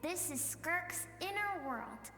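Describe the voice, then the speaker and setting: A mysterious spirit guide, high and lilting with a lively range. Her composed anime game delivery rings in deep reverb. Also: slow, heavily acted. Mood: serene, knowing. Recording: echoing long reverb over prominent music.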